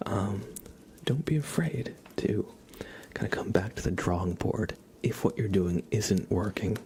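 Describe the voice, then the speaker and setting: A man hosting a casual ASMR livestream, chatting and reading cards in a rambling, soft-spoken way that drifts into whispering. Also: on the low side, lively intonation, unhurried. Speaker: livestream host. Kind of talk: casual live ASMR chat with tarot card readings. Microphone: headset. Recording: clear background noise.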